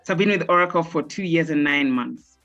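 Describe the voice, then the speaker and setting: A woman's voice: deep voice, a bit bright, faint music behind. Woman on a dull call line. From Kenya. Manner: measured, earnest, with frequent "uh" pauses. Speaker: guest, software director. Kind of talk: remote interview answers.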